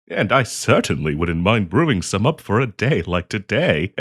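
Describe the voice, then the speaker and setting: A man's voice: lowish voice, big pitch swings, moderate pace, fairly bright tone, husky, heavily acted. A man playing a polite, hesitant half-dragon diplomat in a fantasy roleplay audio, genteel and eager to please as he hosts the listener. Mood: gently explaining. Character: polite, flustered half-dragon diplomat hosting tea in his cave.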